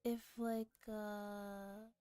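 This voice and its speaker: Slow-talking, giggly young woman streamer. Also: medium pitch, flat delivery, dark tone, smooth, no room sound, soft background music. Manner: giggly, informal, rambling, teasing. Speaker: livestreamer. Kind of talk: casual livestream chat with viewers.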